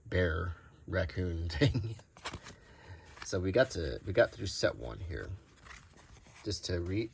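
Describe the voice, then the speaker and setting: A man hosting an unscripted show-and-tell, talking casually and thinking aloud in a rambling, unhurried way. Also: sits low, darkish tone, slight background noise. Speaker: host showing vintage game cards.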